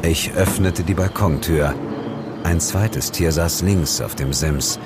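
An actor playing a world-weary, sardonic ghost hunter, narrating an audio drama in a measured, dry way. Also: male voice, sits low. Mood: brooding, measured. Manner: measured storytelling with dry sarcasm. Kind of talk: scripted first-person audio drama narration. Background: loud music.

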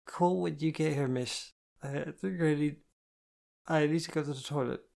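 A woman's voice: deep voice, creaky, warm tone, pitched above the performer's own voice. A woman playing a drunk, teary young woman. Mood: meek. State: drunk, slurring, crying.

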